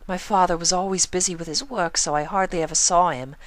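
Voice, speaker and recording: A woman's voice: on the low side, fairly quick, dry recording, voice pushed up, close to an everyday voice. A woman voicing a genteel, anxious young mother unicorn with proper, plaintive phrasing in a story reading. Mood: hurt, confiding.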